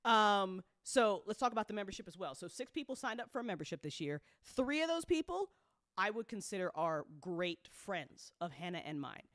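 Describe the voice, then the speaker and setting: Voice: mid-range voice, very animated, moderate pace, a bit bright, dry recording, clean studio sound. A podcast creator talking frankly and informally to listeners, swearing freely and repeating points for emphasis. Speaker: audio drama creator and network host. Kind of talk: candid informal business update to listeners.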